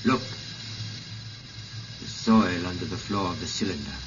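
An actor playing a grave, devoted husband stranded in a strange world, earnest and dramatic in 1950s radio style. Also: male voice, low-pitched, measured pace, music in the background. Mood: grave resolve. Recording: muffled, slightly noisy old radio recording.

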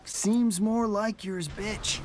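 An actor playing a cocky, wisecracking movie-star fighter in video game banter, brash and sarcastic. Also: male voice, sits high, fairly even intonation, takes it slowly, darkish tone, a little hiss or hum. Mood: cocky taunting.

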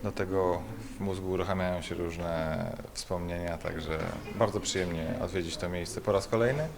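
A man's voice: deep voice, fairly even intonation, normal speed, gravelly. A man speaking informally as a guest in a promotional interview. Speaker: guest being interviewed at an opening. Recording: crowd chatter behind voice.

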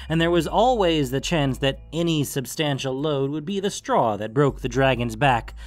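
A man narrating a scripted fantasy story as the narrator of an audio production. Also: medium pitch. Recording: music under the voice.

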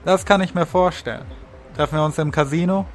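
A man's voice: fairly high pitch, a little fast, faint music behind, close to an everyday voice. A man playing a smug, threatening henchman in an audio drama. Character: threatening male henchman, smug, trigger-happy.

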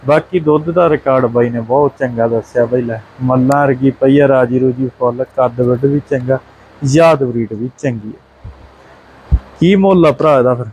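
A man's voice: mid-range voice, moderate pace, a little mellow, husky, rough recording. A young man hosting a dairy channel, walking through cows for sale and praising them in rhyming, doubled-up lists. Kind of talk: livestock sale walkthrough. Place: open cattle shed outdoors.

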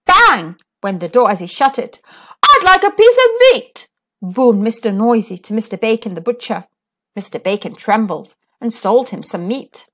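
A woman reading a children's picture book aloud, with expressive sound effects. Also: medium pitch, normal speed, husky. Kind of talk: storybook read-aloud.

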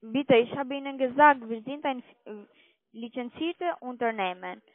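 High-pitched non-native woman on a phone. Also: no room sound. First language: not German. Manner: halting, ungrammatical German. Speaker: telephone sales caller. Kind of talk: cold-call sales pitch. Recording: tinny narrowband phone line.

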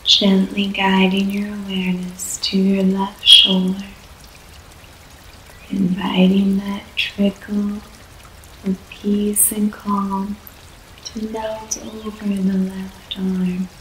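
A woman leading a guided yoga nidra relaxation in a soft, soothing voice, with long pauses between short phrases. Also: medium pitch, completely flat delivery. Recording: echoey room, faint background noise.

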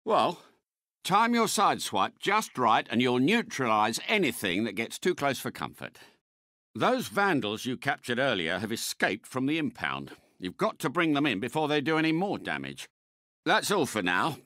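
An actor playing a suave, courteous British spy car in a video game, a polite and unflappable mentor with refined, precise phrasing. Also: male voice, mid-range voice, very animated, normal speed, sharp tone, no room sound, clean studio sound. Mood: composed, instructive. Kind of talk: scripted game character voice lines.

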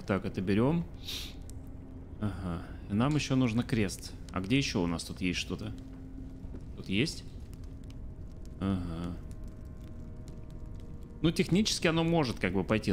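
A man in his thirties with a lowish voice, talking through a game stream as he plays. He thinks aloud in a loose, chatty way and answers his viewers as he goes. Faint game sounds sit quietly behind him. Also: a little hiss or hum. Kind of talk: casual let's-play commentary. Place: small room indoors. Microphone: studio mic on arm by face.

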